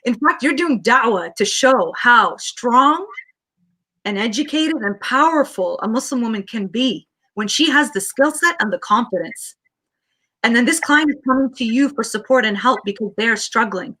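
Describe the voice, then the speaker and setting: A Canadian woman counselor speaks with a bright, forward tone. She tells her story earnestly and quickly, often restarting her sentences as she goes. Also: on the high side, expressive, poor audio. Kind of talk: interview answers, personal storytelling.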